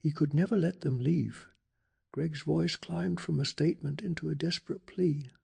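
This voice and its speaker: A man reads a scripted story in a very husky, breathy ASMR voice. The pitch sits a little high for a man, with a gravelly fry running through it. His delivery is hushed and dramatic, made for bedtime listening. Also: moderate pace. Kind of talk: soft-spoken ASMR bedtime story narration.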